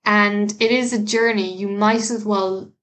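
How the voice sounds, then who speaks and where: An Irish woman speaking at a middle pitch hosts her own podcast and talks straight to learners. She sounds earnest and encouraging and often restarts her phrases and fills gaps with small sounds. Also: fairly even intonation, a little slow, a bit bright. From Ireland. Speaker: podcast host, language teacher. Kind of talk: solo podcast monologue giving tips.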